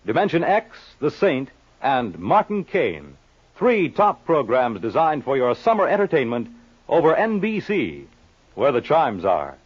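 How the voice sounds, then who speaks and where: Animated vintage radio announcer, man. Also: medium pitch, measured pace, breathy edge. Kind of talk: scripted 1950s radio promo announcing. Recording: dull lo-fi old radio recording.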